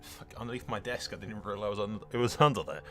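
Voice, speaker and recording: A man's voice: on the low side, racing, pushed and bright, soft background music. A man giving casual, blokey game commentary, swearing freely and addressing his viewers. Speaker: gaming video host.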